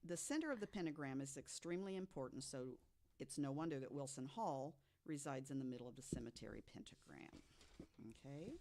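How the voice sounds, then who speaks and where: A podcast co-host telling stories from notes to the others in a deliberate way, with emphatic asides. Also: medium pitch, a little mellow. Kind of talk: storytelling from notes to co-hosts.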